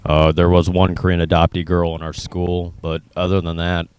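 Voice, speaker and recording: A man's voice: sits low, completely flat delivery, moderate pace, darkish tone, smooth. An American man interviewing in a casual, loosely phrased, conversational way. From Minnesota, United States. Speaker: interviewer sharing his own experience.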